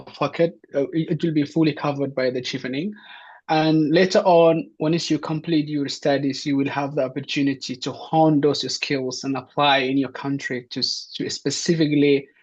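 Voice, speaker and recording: A man from Somalia gives an informational talk to an online audience, pausing often with fillers and restarting his sentences. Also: a little high, a little mellow. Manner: hesitant, many fillers and restarts. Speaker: presenter. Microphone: video call. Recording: dull lo-fi online session.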